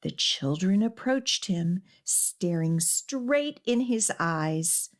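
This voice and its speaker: A woman hosting a children's storytime and reading a picture book aloud in an expressive, deliberate way. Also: fairly low pitch. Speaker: children's librarian and storytime host.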